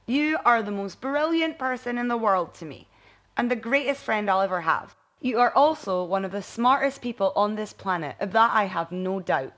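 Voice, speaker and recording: A woman's voice: medium pitch, expressive, moderate pace, a bit bright, close to an everyday voice. A woman voicing an affectionate, earnest young woman scientist who encourages her friend in a bedtime story. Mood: tender, earnest.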